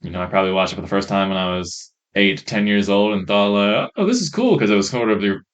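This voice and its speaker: A man's voice: on the low side, expressive, fast. A man co-hosting a casual film podcast, thinking aloud in a hesitant, conversational way. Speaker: podcast co-host.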